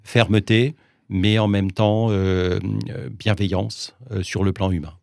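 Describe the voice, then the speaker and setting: Interviewee: Alsace-born man, Alsatian first language, low gravelly voice, dry close sound, measured and hesitant. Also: fairly even intonation, a little mellow, no echo. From Alsace, France. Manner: measured, hesitant, many restarts. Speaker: interviewee, business executive. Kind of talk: interview conversation.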